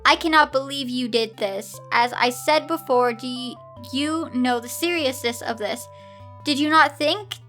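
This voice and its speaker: A woman's voice: high-pitched, a little slow, a bit bright, faint music behind. A woman voicing a cold, severe father and schoolteacher who scolds reproachfully in a fan fiction reading. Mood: angry, scolding.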